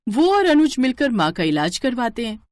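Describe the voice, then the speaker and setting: A woman's voice: fairly high pitch, very animated, normal speed, a little mellow, slight background noise. A woman narrating a scripted moral story as a storyteller.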